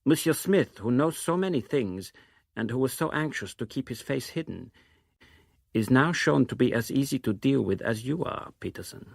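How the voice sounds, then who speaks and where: An actor playing a menacing, coolly mocking villain in a spy thriller reading, formal and measured. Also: male voice, medium pitch, lively intonation, vocal fry, breathy edge, dry recording, clean studio sound. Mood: cool menace. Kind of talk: dramatised reading from a thriller novel.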